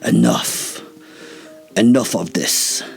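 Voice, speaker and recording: A man voicing a cold, haughty ancient male vampire in a storybook reading, speaking slowly with pompous disdain. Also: low voice, sing-song, creaky, soft background music, heavily acted. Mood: menacing.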